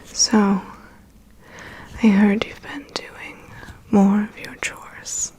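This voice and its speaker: A woman playing a calm, prying vampire's assistant in an ASMR roleplay, murmuring dry asides and reassurance in a breathy whisper. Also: mid-range voice, drawn-out words. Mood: attentive, clinical. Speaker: ASMR performer. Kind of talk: ASMR roleplay, personal attention.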